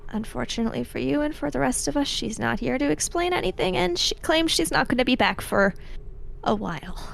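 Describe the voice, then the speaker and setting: A woman's voice: sits high, expressive, brisk, vocal fry, slight background noise. A woman playing in a tabletop role-playing game, speaking in casual improvised conversation. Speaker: tabletop role-play player.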